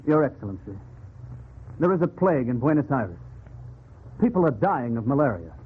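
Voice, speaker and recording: An actor playing an earnest, hot-blooded young Spanish colonial traveler in 1940s radio style, on a scratchy recording. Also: male voice, mid-range voice, very animated, normal speed, husky, narrow, tinny audio. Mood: earnest, pleading.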